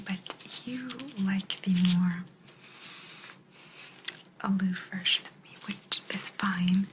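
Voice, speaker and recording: A woman voicing an aloof, secretive alien abductor in a soft, breathy, unhurried whisper for an ASMR sci-fi roleplay. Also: mid-range voice, background noise, close to an everyday voice. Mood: calm, aloof. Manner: unhurried, hushed, personal attention. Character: aloof female alien abductor, calm, secretive, gently apologetic.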